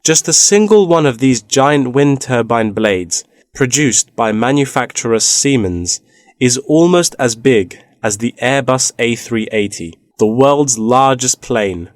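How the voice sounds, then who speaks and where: An actor plays a knowledgeable man in a scripted listening-test dialogue. His voice sits at a medium pitch, with a measured, informative delivery. The recording is dry, with no room sound. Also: lively intonation, no room sound, lightly acted. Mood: explanatory. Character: knowledgeable man explaining wind farm technology.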